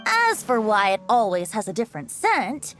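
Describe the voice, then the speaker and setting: A woman's voice: fairly high pitch, wildly swooping pitch, normal speed, a bit bright. A woman voicing a breezy, playful young bard in a video game, with flowery, lilting, storyteller-like speech. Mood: storytelling. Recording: music behind the voice.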